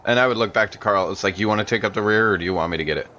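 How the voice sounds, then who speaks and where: A man's voice: lowish voice, racing, sharp tone, dull audio, slight background noise. A man taking part as a player in a tabletop roleplaying game, talking casually.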